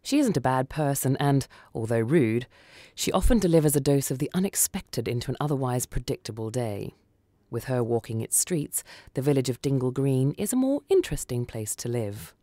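Low-key woman narrator with a mellow voice. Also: very animated, normal speed, dry recording, studio quality. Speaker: story reader. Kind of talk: scripted first-person short story narration for radio.

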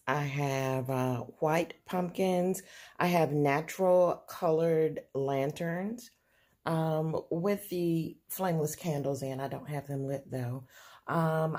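A woman casually showing off her table decorations, soft-spoken and unhurried, with many ums and uhs, filming on a phone indoors. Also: sits low. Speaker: home decor vlogger. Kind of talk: casual show-and-tell narration.

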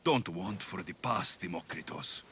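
An actor playing a compassionate young Greek mercenary in a video game, speaking in an earnest, measured, plain way. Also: male voice, low-pitched, expressive, fairly bright tone, a little hiss or hum. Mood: solemn, consoling. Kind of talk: video game dialogue acting. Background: game music.

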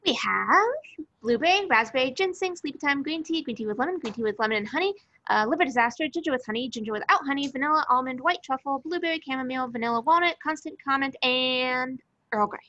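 A woman as a bright-voiced, cool, teasing young woman. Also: high voice, animated, quick pace, dull audio. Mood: casual. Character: cool, blunt, teasing young woman, casually aloof love interest. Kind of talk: amateur screenplay table reading. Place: online group video call.

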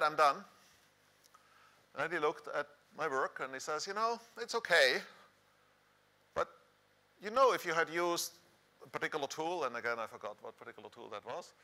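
Middle-aged male conference speaker, Swiss accent, bright forceful voice, conversational delivery. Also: mid-range voice, smooth. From Switzerland. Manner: conversational, rhetorical questions to the audience. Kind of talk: conference presentation. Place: large dark conference hall stage, indoors.

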